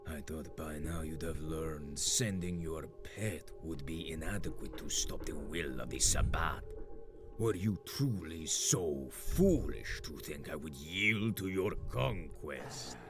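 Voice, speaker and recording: A man's voice: extremely low pitch, animated, slow, heavily acted. An actor playing an ancient, scheming male vampire warlord who is grandiose and menacing, slipping into hissing whispers. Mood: gloating. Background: game music.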